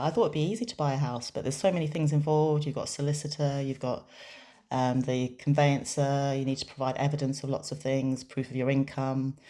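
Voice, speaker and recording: Very low-voiced woman, measured. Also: mellow. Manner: measured, with frequent pauses and restarts. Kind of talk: first-person interpreted interview answers.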